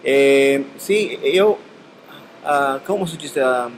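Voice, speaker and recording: Man, language student, halting, many fillers, slow pace, faint background hiss. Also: medium pitch. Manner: hesitant, many fillers, searches for words. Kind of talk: halting practice conversation. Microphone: video call.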